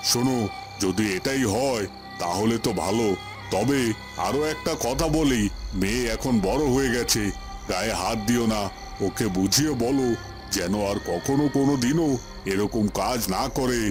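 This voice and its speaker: A man's voice: mid-range voice, normal speed, long pauses, natural voice. A man playing a stern, righteous village headman and family patriarch in a cartoon, speaking in a scolding, authoritative way. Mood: concerned, admonishing. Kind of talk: dramatic cartoon voice acting. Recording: music behind the voice.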